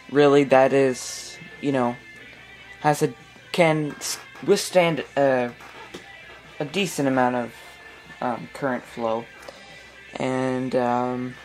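Male hobbyist presenter, middle register, informal tutorial, thinking aloud at a workbench indoors. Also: mid-range voice. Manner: halting, thinking aloud while working. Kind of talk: casual hands-on tutorial. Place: small indoor room at a workbench.

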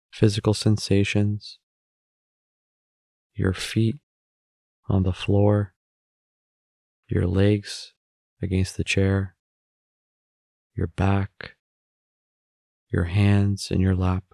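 Guy doing guided meditation, lots of fry in the voice, super dry close sound, measured short phrases. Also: fairly low pitch, dark tone, no echo. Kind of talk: guided meditation narration, instructional.

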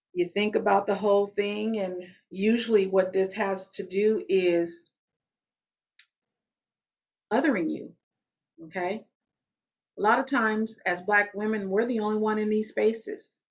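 A woman in her forties with a deep, loud voice, talking straight to the camera in an emphatic, conversational way and often checking in with "okay?". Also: gravelly, lo-fi sound. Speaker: vlogger. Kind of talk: direct-to-camera talk. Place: small room at home.

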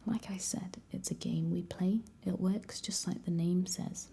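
Whispered teen boy, sly and teasing, low and gravelly, read by a woman. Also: fairly even intonation, a little slow, dark tone, heavily acted. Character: cocky scheming teenage boy who raises the dead.

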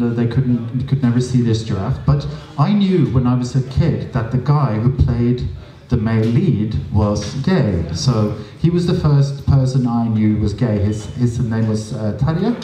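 A man's voice: mid-range voice, warm tone. A Norwegian man telling stories as an interview guest on a small stage, chatty and drily funny, with many fillers, into a handheld mic. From Norway. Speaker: interview guest, drag performer. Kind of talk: casual storytelling interview. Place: small stage with audience, indoors. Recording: roomy, from a distance.

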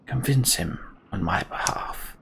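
A man voicing a weary, solemn old king in a video game, speaking slowly and carefully in a breathy half-whisper. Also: lowish voice, animated. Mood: weary, grave. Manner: slow, careful, hushed. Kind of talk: video game character dialogue.

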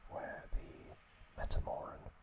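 A man whispering an ASMR story recap in a hushed, unhurried, hesitant way as the host. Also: background noise.

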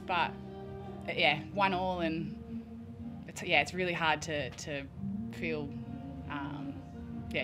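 Young Australian woman athlete with a low voice, casual and filler-heavy at a press conference. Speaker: team co-captain and player. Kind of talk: post-match press conference answers. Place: press conference room with sponsor backdrop. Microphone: desk microphone.

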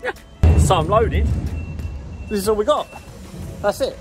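A man's voice: high voice, expressive, measured pace, music in the background. A man, chatting casually to viewers as he drives his truck and loads it in a yard. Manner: chatty, playful, talks to viewers as he works. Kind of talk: casual vlog commentary while driving and loading. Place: truck cab and open loading yard.

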